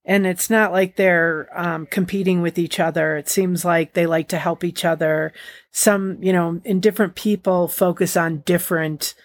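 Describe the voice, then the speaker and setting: Dry-sounding, fairly low-voiced woman host. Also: moderate pace, dry recording. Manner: excitable, stumbling over words. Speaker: podcast host. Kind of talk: interview hosting.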